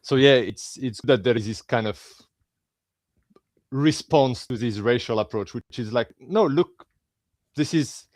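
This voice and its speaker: A man's voice: medium pitch, unhurried, long pauses, a little mellow, no room sound, clean studio sound. A man co-hosting a live-stream chat, reflective and explaining his views at length.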